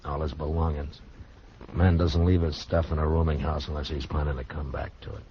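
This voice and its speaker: An actor playing a stern, laconic frontier marshal with quiet authority in a 1950s radio western. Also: male voice, a bass voice, flat delivery, a little fast. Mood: quiet, grim. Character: stern, steady frontier marshal, adult man. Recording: muffled noisy 1950s radio recording.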